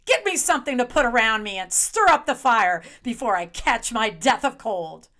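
A woman's voice: lowish voice, sing-song, takes it slowly. An actress playing a possessive, sly witch wife in a radio drama, with a commanding, teasing, coolly menacing manner. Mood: indignant, scolding. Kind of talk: old-time radio drama acting.

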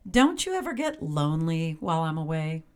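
A woman's voice: sits low, sing-song, fairly quick, clean tone. An actress playing a possessive, sly witch wife in a radio drama, with a commanding, teasing, coolly menacing manner. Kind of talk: old-time radio drama acting.

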